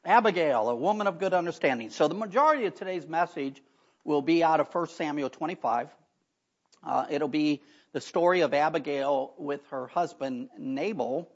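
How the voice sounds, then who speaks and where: A hoarse man preaches a sermon in a church, his voice gravelly and rough. He talks in a folksy way and breaks off for chatty asides. The recording is dull and lo-fi. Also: a little high. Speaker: pastor. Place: church sanctuary.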